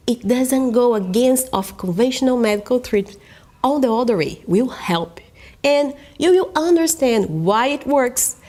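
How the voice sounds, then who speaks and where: A Brazilian woman with a Portuguese accent presents a course in English, earnest and persuasive. Her voice sits a little high, and her phrasing is careful and slightly halting, like someone working in a second language. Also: a little mellow. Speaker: natural vision course instructor.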